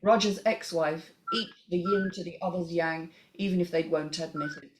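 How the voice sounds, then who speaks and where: A woman's voice: on the low side, moderate pace, noisy background. A woman narrating a screenplay, reading out scene headings and stage directions as scripted narration.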